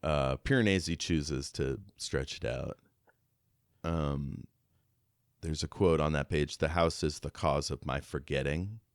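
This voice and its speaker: A man's voice: a bass voice, measured pace, clean tone, dry recording, studio quality. A man co-hosting a podcast, talking through a book in a casual, reflective, conversational way. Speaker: podcast co-host.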